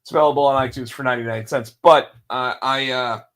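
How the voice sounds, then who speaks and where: A man hosting a casual podcast on his own, under the weather and losing his voice, rambling with lots of fillers, restarts and throat clearing. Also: mid-range voice, fairly even intonation, fast talker, a bit bright. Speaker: podcast host. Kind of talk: solo casual wrestling podcast talk.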